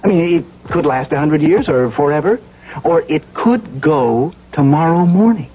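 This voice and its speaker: An actor playing an earnest, troubled safety inspector in a radio drama, speaking in a hesitant, halting but insistent way. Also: male voice, fairly high pitch, lively intonation, husky. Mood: anxious, insistent. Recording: dull old radio broadcast recording.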